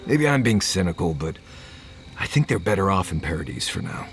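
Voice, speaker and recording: A man's voice: low-pitched, big pitch swings, a little fast, soft background music. An actor playing a blunt, world-weary male adventuring companion in a fantasy video game, talking in a conversational way. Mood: weary, conceding. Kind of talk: naturalistic video game dialogue.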